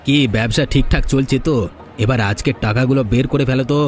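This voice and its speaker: A man playing a village boss's henchman in a folk-tale cartoon, pushy and quick to complain. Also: mid-range voice, steady tone, lightly acted. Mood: menacing. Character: village boss's henchman, threatening, then aggrieved and defiant.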